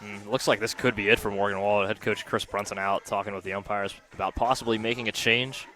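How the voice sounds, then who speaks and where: Low-voiced male softball color commentator. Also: fairly even intonation, brisk, soft background music. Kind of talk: live softball analysis, conversational.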